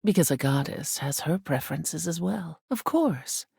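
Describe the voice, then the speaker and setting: An imperious air goddess for a video game. The voice is lowish and mellow, airy and often near a whisper. The phrasing is solemn and formal, with a stern, haughty temper. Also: female voice, animated, moderate pace, no room sound, studio quality, heavily acted. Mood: pleased, regal. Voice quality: breathy, often sinking into whisper. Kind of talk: scripted video game character acting.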